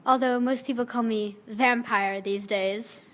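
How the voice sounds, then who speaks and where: A woman's voice: on the high side, fairly even intonation, unhurried, fairly bright tone. A woman voicing a shy, brooding gothic teenage vampire boy in a comic dramatic reading of fan fiction.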